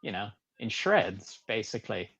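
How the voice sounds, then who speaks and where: A man's voice: fairly low pitch, big pitch swings, normal speed, vocal fry, a bit bright, slightly rough. A Canadian man, an animator and author, answering interview questions in an easygoing, modest way with many uh fillers. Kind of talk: casual interview talk. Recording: slightly dull, lo-fi sound.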